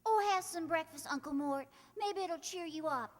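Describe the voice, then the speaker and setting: Woman acting a very high, perky young niece. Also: moderate pace. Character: perky, teasing young niece and big sister. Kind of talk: old-time radio comedy acting. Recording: distant, very noisy stage recording.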